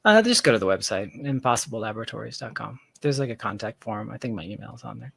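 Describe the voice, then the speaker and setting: A man's voice: fairly low pitch, lively intonation, fast, gravelly, a bit bright, breathy edge. A man speaking as an interview guest in casual, reflective conversation, searching for his phrasing as he goes. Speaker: interview guest, tech founder.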